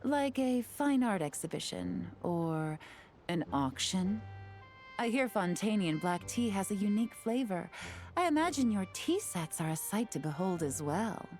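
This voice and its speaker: A woman's voice: medium pitch, expressive, measured pace, darkish tone, faint music behind. An actress playing a composed, shrewd woman agent in a video game, speaking in a polite, self-assured manner. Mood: politely probing. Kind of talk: video game character acting.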